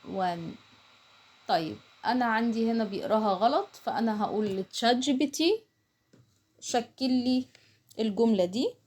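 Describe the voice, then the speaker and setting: Egyptian lady w a highish voice walking thru clicks on screen, casual, drops english words in. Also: lively intonation, normal speed, pauses often, darkish tone. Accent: Egyptian Arabic. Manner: explains while doing, with English terms mixed in. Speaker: online tutorial instructor. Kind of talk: casual step-by-step screen tutorial.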